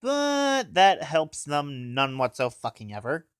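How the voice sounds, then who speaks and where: A man's voice: on the high side, wildly swooping pitch, slow pace, fairly bright tone, clear, resonant tone. A young man giving a casual comedic review, talking in a sarcastic, sweary way. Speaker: anime reviewer.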